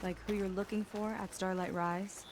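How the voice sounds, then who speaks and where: A woman's voice: mid-range voice, level tone, moderate pace, background noise, close to an everyday voice. Actress as direct, curious young woman companion. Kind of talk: video game voice acting.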